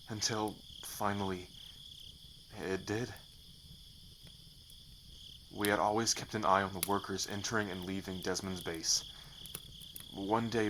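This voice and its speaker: A man's voice: lowish voice, noisy background, natural voice. A man playing a loyal, hot-headed rebel in an ASMR roleplay, protective, vengeful, earnest and emphatic in delivery.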